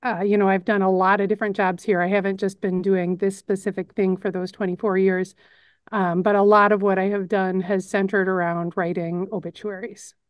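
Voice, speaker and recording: A woman's voice: mid-range voice, little pitch movement, normal speed, clean tone, dry recording. A middle-aged woman from the Chicago suburbs with a Chicago accent, chatting on camera about her work as a news editor and narrator in a friendly, matter-of-fact way. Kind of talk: casual on-camera chat.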